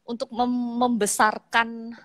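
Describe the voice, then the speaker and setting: A woman in her thirties from East Java speaks with a Javanese accent, sitting for a casual live-stream interview. Her voice sits fairly high and sounds dry and close. She talks loosely, laughing easily and stumbling through fillers as she explains herself. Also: flat delivery, slow, fairly bright tone, no room sound. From Malang, East Java, Indonesia. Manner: chatty, laughs easily, many fillers and restarts. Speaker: guest, makeup artist. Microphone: phone on an online live stream.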